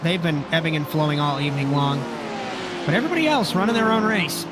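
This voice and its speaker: A man with a raspy voice from a sinus infection, commentating a sim race in a relaxed, chatty way that often corrects itself. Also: fairly high pitch, fast talker, very noisy. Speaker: lead commentator. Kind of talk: sim racing play-by-play commentary.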